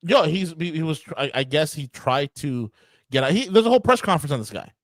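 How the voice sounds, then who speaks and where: A man's voice: on the high side, lively intonation, fast, no echo, studio quality. A man with a New York accent arguing emphatically on a podcast, often restarting and stammering in exasperation. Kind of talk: heated podcast debate.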